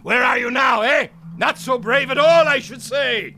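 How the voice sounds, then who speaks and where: An actor in a radio drama playing an arrogant, cruel, unraveling former Nazi camp captain, theatrical and contemptuous. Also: male voice, extremely high pitch, bright, forceful tone, raised voice, a little hiss or hum, heavily acted. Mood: mocking, enraged. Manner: theatrical, sneering, contemptuous, halting when rattled.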